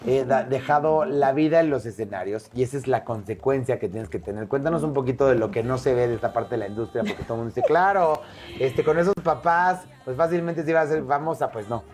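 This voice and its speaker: A woman's voice: very deep voice, fast talker, darkish tone, soft background music. A woman hosting a talk show interview, chatty and rambling with many fillers. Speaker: host.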